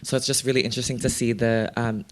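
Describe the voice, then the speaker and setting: A man hosts a live podcast panel at a mid-range pitch. He talks in a relaxed, encouraging way, speeding up in quick bursts and then slowing down. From Philippines. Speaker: podcast host.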